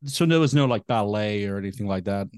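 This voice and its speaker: A man hosting a podcast, asking questions in a relaxed, conversational way. His voice sits at an ordinary middle pitch. The recording is dry and close, with no room sound around it. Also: quick pace, smooth, no room sound. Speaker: podcast host. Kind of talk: interview questions, casual.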